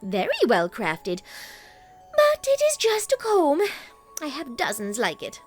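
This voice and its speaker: A woman's voice: sits high, wildly swooping pitch, unhurried, faint music behind, voice pushed up, heavily acted. A woman voicing a proud, elegant unicorn noblewoman with a grand, poised and demanding manner, in a storybook reading. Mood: aloof, dismissive. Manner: grand, poised, emphatic delivery.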